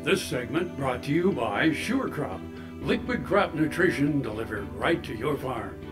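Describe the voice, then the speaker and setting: A man's voice: medium pitch, very animated, unhurried. A man reading a farm store advertisement in an upbeat promotional manner. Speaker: ad announcer. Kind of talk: radio ad read. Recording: over loud guitar music.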